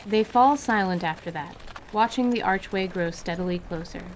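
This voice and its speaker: A woman's voice: lowish voice, moderate pace, poor audio. Woman narrating, with noise behind her. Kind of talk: scripted narration of a fantasy audio drama.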